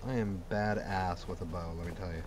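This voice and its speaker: Guy with a lowish voice doing casual gameplay commentary, blunt n sweary, bit of noise. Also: fairly even intonation, a little fast. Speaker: gamer reviewing a game mod.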